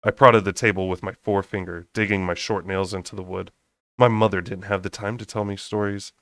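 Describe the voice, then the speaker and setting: A young man narrating a fantasy story aloud with expressive, slightly unrehearsed delivery. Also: low voice, a little fast, no echo. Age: young adult. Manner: unrehearsed, expressive, occasional stumbles. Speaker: amateur audiobook reader. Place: small bedroom, indoors.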